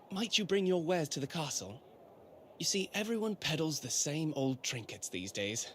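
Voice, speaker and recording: An actor playing a friendly, well-bred young prince in an audio drama, speaking in a genteel, effusive way. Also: male voice, mid-range voice, a bit bright, slight background noise. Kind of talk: video game audio drama acting.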